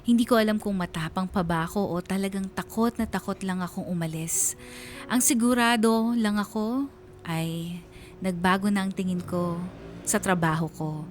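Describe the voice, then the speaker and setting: A woman's voice: medium pitch, moderate pace, soft background music, natural voice. A woman playing a weary, anguished letter writer, narrating a scripted radio drama in a confessional, sorrowful manner. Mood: weary, sombre.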